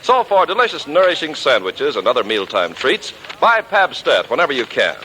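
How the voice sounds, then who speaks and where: A man's voice: a little high, wildly swooping pitch, normal speed, pushed and bright. A man with an American accent reading scripted 1940s radio announcements in a polished, emphatic way. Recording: noisy 1940s radio recording.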